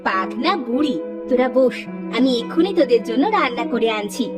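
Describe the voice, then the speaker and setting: A woman's voice: a little high, fairly quick, over loud music, close to an everyday voice. A woman voicing a fretful, outspoken village wife and mother in a plaintive, exclamatory cartoon style. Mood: caring. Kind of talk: cartoon character dubbing.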